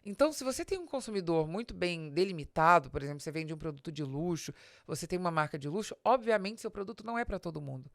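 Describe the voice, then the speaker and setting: A woman's voice: lowish voice, expressive, quick pace, vocal fry, no room sound, clean studio sound. A woman with a Brazilian accent giving an explanatory business talk as a podcast host, speaking straight to the listener in a didactic way with emphatic stresses.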